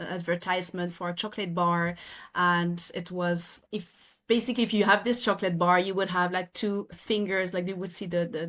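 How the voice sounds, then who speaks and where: A woman's voice: on the low side, fairly bright tone. A woman from France with a French accent, in her thirties, earnestly explaining her work as a podcast guest, often restarting her phrases. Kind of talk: podcast interview.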